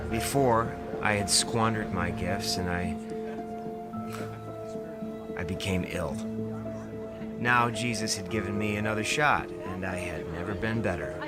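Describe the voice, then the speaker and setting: A man's voice: lowish voice, close to an everyday voice. An actor playing a zealous, self-assured born-again American businessman and father in an audio drama, with a folksy, preacherly manner. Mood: reflective, confiding. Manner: folksy, preacherly, rhetorical questions. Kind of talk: audio drama acting, preaching speech and confessional narration. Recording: music playing behind the voice.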